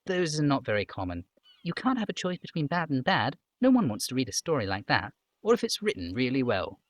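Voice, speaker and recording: Casting: man playing innocent, earnest young game girl, pitched high, lively and deferential. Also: fairly quick, no echo. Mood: thoughtful, innocent. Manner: deferential, innocent, matter-of-fact. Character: polite, earnest young girl chatting helpfully.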